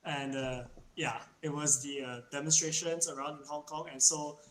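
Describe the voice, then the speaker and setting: A man's voice: fairly high pitch, little pitch movement, moderate pace, a bit bright. A young man from Malaysia, a university student, talking casually as a guest on a video call with lots of fillers. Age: young adult. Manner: hesitant, filler-heavy. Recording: echoey room, faint noise.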